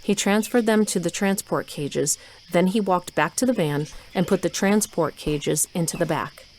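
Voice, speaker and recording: A woman's voice: lowish voice, moderate pace. A woman reading scripted story narration for an audio drama. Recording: noisy ambient sound effects.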